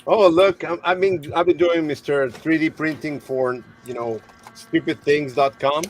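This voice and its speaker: A man in casual show-and-tell chat, good-humoured, halting and repeating his words as he explains. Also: a little high, fairly even intonation. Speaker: hobbyist guest on the stream. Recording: faint noise, room ambience.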